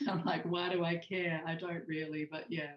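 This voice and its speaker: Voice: mid-range voice, lively intonation, slow, lo-fi sound. A guest author and artist talking in a meandering, hedging way with many "sort of" and "um". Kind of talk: reflective interview conversation. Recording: roomy echo.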